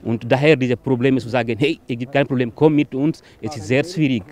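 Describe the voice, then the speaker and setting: A man's voice: medium pitch, brisk, a little mellow. Earnest man explaining at a protest. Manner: earnest, explanatory, hesitant "äh" starts. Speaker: protest organizer. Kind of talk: street interview answers.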